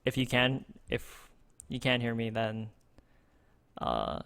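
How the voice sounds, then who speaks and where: A man in the middle pitch range with a smooth, clear voice speaks close into a desk microphone. He streams a card game and thinks aloud, halting often with fillers and restarts. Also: very animated, a bit bright. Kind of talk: casual gameplay streaming.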